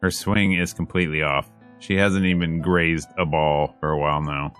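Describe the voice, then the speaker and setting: A man's voice: extremely low pitch, steady tone, normal speed, a bit bright, clean tone. A man streaming a visual novel, reading it aloud with wry, casual and exasperated asides. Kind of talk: let's play commentary and dramatic read-aloud. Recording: music playing behind.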